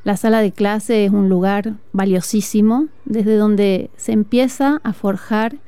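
A woman from northern Argentina with an Argentine accent, a music teacher speaking earnestly and reflectively in an interview, with frequent "eh" pauses. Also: mid-range voice, fairly even intonation, mellow, clean tone, dry recording. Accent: Argentine Spanish. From Tucumán, northern Argentina. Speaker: music teacher and flutist, guest. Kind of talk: conversational interview. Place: outdoor school courtyard.

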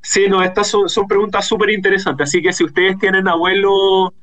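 A man's voice: sits high, flat delivery, a little fast, a bit bright. A man with a Chilean accent, explaining things conversationally as an academic guest in a radio interview.

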